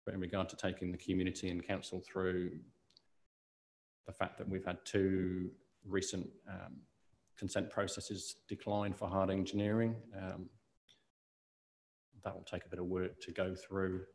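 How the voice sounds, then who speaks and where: A man with a New Zealand accent, a council officer explaining plans at a meeting in a measured way. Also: deep voice, monotone, a little mellow. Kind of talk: answering questions in a meeting.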